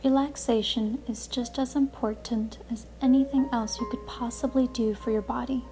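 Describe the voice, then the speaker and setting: A woman hosting a guided relaxation session, speaking in a calm, gentle, deliberate way with soothing narration. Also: fairly high pitch, rough recording. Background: music playing behind the voice.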